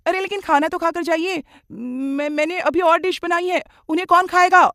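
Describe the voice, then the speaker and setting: A woman's voice: very high-pitched, moderate pace, a bit bright, dry recording, studio quality, voice pushed up. A woman voicing a cheerful, eager young daughter-in-law who gushes and insists, in a moral cartoon story. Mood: dismayed. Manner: gushing, insistent.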